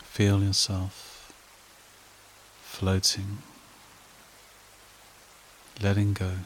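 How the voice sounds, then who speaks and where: A man leading a guided meditation and hypnosis in a hushed, soothing, repetitive way with long pauses. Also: low-pitched, completely flat delivery, slight background noise, low-quality recording.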